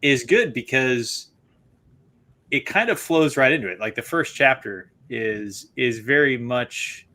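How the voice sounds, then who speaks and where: A man hosting a casual online book club chat, chatty and quick to laugh, often restarting his sentences. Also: medium pitch, animated, sharp tone.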